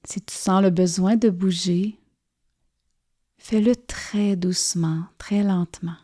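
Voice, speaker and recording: A woman guides a yoga nidra relaxation, speaking softly and soothingly with gentle pauses. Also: on the low side, steady tone. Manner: soft, soothing, gently pausing. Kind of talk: guided relaxation meditation narration.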